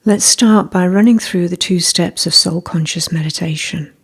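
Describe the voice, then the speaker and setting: Female guide for scripted meditation: soft, husky-breathy tone, slightly low, soothing and unhurried delivery. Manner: gentle, measured, soothing.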